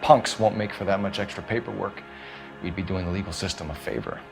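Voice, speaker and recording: Low-voiced, stern police detective. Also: male voice, brisk, creaky, over music. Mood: cold, pressing. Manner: commanding, clipped interrogation. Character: stern, driven male police detective, hard-nosed and controlled. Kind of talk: cinematic video game acting.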